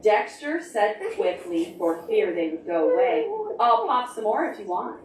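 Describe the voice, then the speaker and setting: A woman reading a picture book and leading preschool activities, talking to small children in simple, animated prompts. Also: mid-range voice, a little slow, slight background noise. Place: library storytime room, recorded from a distance. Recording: roomy, distant mic with music behind.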